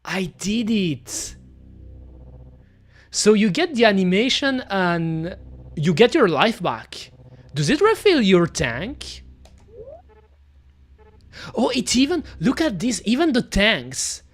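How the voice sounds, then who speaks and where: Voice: mid-range voice, big pitch swings, slow, long pauses. A streamer from Belgium with a Belgian accent, whose first language is French, chatting casually to viewers while playing and often saying "you know" and "pretty much". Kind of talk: casual gameplay commentary.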